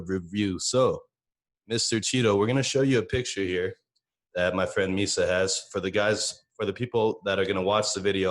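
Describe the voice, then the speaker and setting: A male podcast host with a low voice who talks in a relaxed, upbeat way. He gives friendly shout-outs and asks easygoing questions. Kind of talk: casual podcast chat.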